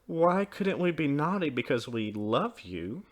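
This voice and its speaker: A man's voice: on the high side, sing-song, measured pace, voice pushed up. A man voicing a small, passionate girl in a storybook, pleading with wailing emphasis. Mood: insistent.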